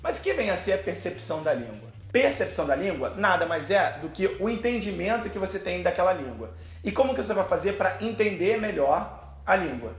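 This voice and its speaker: A man's voice: high-pitched, very animated, a little fast, echoey room. A Brazilian man with a Brazilian Portuguese accent, teaching English pronunciation in a video lesson, posing questions and then answering them.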